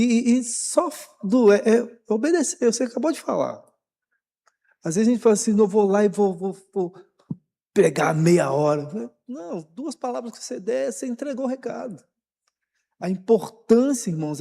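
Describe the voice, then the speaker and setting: A man's voice: high voice, dark tone. A man with a Brazilian Portuguese accent, a pastor leading a Bible study, talking informally and animatedly, repeating words as he encourages the church. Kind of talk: sermon, informal. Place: church hall. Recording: long church reverb.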